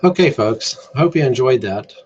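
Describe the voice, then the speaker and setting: A man's voice: medium pitch, lively intonation, moderate pace, darkish tone, dull audio, slight background noise. A man presenting a casual screen-share tutorial in a laid-back, explanatory way. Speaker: amateur radio tutorial presenter.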